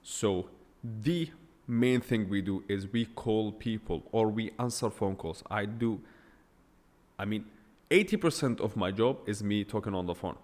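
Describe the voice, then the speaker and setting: Non-native english guy, lowish voice, blunt ranty podcast monologue, lots of echo in the room. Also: unhurried, a little mellow. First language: not English. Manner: blunt, emphatic storytelling with rhetorical questions. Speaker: podcast host. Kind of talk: unscripted solo podcast monologue.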